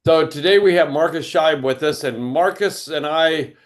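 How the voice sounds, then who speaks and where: A man's voice: on the high side, normal speed, soft background music. Easygoing male interview host. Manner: easygoing, conversational questions. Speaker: podcast host. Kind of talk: casual interview talk.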